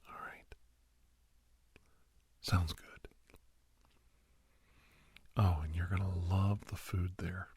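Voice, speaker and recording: A man playing a kindly older doctor in an ASMR roleplay, reminiscing and reassuring in a soft, breathy, gravelly whisper-talk. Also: deep voice, fairly even intonation, full character voice. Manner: slow, rambling, gentle, chuckling storyteller.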